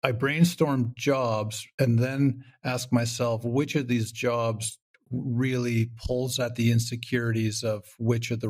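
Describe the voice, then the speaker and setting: Man, mid-pitched, creaky fry in the voice, casual interview guest, self-interrupting explainer. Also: darkish tone. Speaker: guest, comedy writer and show creator.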